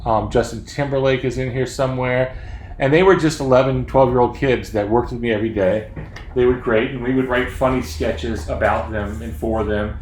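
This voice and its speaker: A man's voice: medium pitch, fairly even intonation, normal speed, a little mellow. A man in his fifties, a children's book author from Florida, chatting casually and joking at his own expense from his home office. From Florida, USA. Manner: chatty, self-deprecating, joking. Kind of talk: casual chat to young readers. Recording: roomy office sound, faint background noise.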